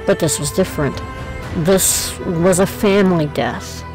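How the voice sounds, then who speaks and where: Low-pitched female narrator. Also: a little slow, music in the background, low-quality recording. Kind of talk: scripted audio drama narration.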